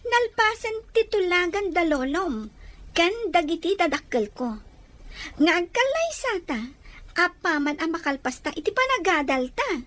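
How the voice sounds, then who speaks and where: Very high, animated young village woman: childish, insistent, whiny audio drama part. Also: a little slow, exaggerated voice. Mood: smug. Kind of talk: Ilocano audio drama acting.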